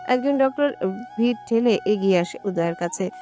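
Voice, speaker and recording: A woman's voice: a little high, lively intonation, normal speed, warm tone, faint music behind. A woman narrating a romantic audio story from a script.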